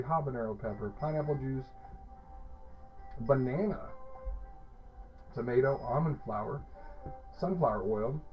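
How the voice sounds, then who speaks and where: A man hosting a casual hot sauce review, talking with enthusiasm and rambling through fillers and restarts. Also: medium pitch. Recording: dull lo-fi sound with faint music.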